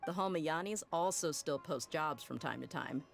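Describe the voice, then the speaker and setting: An actress playing a confident, easygoing and loyal female desert mercenary with a relaxed, self-assured delivery. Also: sits low, normal speed, creaky, soft background music.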